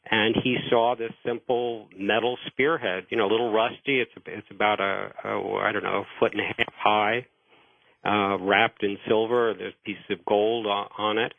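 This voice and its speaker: Halting male history guest, phone-line sound. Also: fairly low pitch, steady tone, gravelly, no room sound. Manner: halting, repeats words as he starts phrases. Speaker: interview guest explaining history. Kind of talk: informal radio interview, storytelling history. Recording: narrow tinny phone line.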